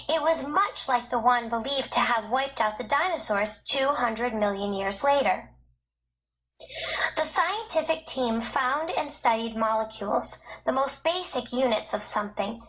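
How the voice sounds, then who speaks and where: A woman narrating a school textbook in a measured, scripted, clearly enunciated read-aloud. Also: sits high, fairly bright tone, low-quality recording.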